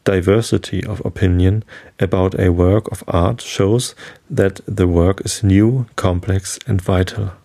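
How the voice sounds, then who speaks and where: A man with a German accent, a native German speaker, hosting a sleep podcast and reading a book aloud in a slow, calm, halting way with small slips. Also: sits low, gravelly.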